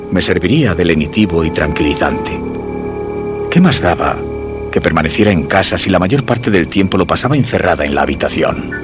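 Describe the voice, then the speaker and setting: Casting: man playing sickly suspicious husband, low voice, Castilian accent, brooding radio-suspense narration over prominent music. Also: natural voice. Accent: Castilian Spanish. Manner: measured, literary, brooding delivery. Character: ailing, suspicious bedridden husband telling his macabre tale.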